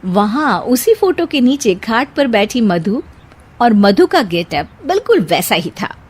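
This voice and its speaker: A woman's voice: on the high side, big pitch swings, a little slow, darkish tone, husky. A woman reading scripted cartoon story narration as the storyteller.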